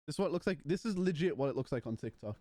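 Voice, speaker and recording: A man's voice: a little high, animated, rapid-fire, dark tone, dry recording. A man, casually chatting and bantering with his livestream chat. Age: 20s. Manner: banters with chat, mocking and playful. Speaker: streamer.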